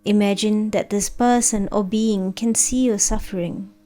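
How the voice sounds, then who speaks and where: A woman narrating a guided meditation in a gentle, soothing, unhurried manner. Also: medium pitch, fairly even intonation. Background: background music.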